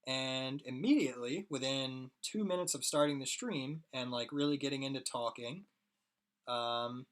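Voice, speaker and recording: A hoarse young man rambling casually to his viewers while he draws on a live stream. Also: medium pitch, moderate pace, fairly bright tone. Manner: rambling, chatty, talks to chat viewers. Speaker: streaming artist.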